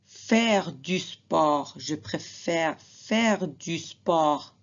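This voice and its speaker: Woman teacher, slow and patient classroom delivery, lowish voice. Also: animated, highs cut off. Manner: patient, addresses students directly, repeats examples.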